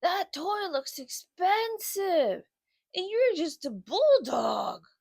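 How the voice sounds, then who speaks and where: A storybook bulldog who is lazy, bored and a bit skeptical, played by a woman reading aloud. He drags his words out slowly, with creaky edges and swooping pitch. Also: sing-song, darkish tone, no room sound, studio quality, heavily acted. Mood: amazed, doubtful. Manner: drawling, sluggish delivery. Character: lazy, bored, slow-talking male bulldog, laid-back and skeptical. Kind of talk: children's storybook character voice.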